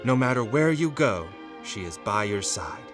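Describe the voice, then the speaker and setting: A man's voice: mid-range voice, animated, moderate pace. A man giving theatrical, suspenseful narration as a storyteller reading a vintage-style horror comic in the second person. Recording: music behind the voice.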